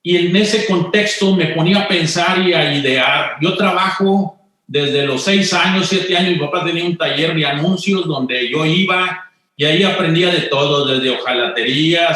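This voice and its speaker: A man's voice: on the high side, sharp tone. A middle-aged man with a Monterrey accent, a guest telling chatty anecdotes about his life in an interview. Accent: northern Mexican (Monterrey). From Monterrey, Mexico. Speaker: guest, business executive. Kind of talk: conversational interview. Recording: very echoey room.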